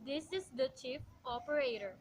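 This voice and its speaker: A woman's voice: high-pitched, lively intonation, moderate pace. A woman in an amateur radio play, playing a polite telephone operator with a formal, unruffled official manner.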